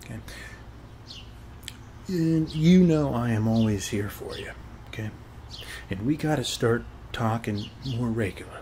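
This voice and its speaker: A man's voice: mid-range voice, measured pace, pauses often, warm tone, slight background noise, close to an everyday voice. A man playing a friendly, chatty suburban neighbor in a casual ASMR roleplay, rambling reassuringly in a folksy way and tagging phrases with short check-in questions. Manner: folksy, reassuring, repeats "okay?" as a tag. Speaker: ASMR performer.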